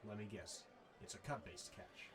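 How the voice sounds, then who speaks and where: Man as wry adventurer, lowish voice, casual, skeptical, wary, film dialogue. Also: animated, moderate pace, fairly bright tone. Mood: curious, skeptical.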